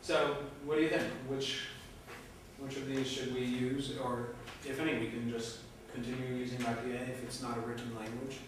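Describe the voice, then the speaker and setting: A man's voice: medium pitch, flat delivery, moderate pace. Man, instructor, informal, inquisitive, distant room mic, reverberant classroom. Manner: informal, self-deprecating, asks many questions. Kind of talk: leading a classroom discussion. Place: lecture classroom. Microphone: far room mic. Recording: echoey classroom from far off, noisy.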